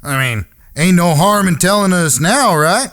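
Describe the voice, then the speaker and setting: An actor playing a wary, bold Old West outlaw with a folksy frontier drawl in an audio drama. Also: male voice, sits high, expressive, normal speed, fairly bright tone. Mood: bitter, probing. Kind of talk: western audio drama acting.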